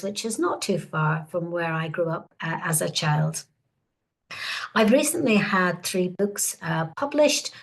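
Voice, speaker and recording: A woman in her sixties from Northern Ireland with a Northern Irish accent, hosting an online webinar in a hesitant, welcoming way full of fillers. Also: mid-range voice. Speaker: webinar host.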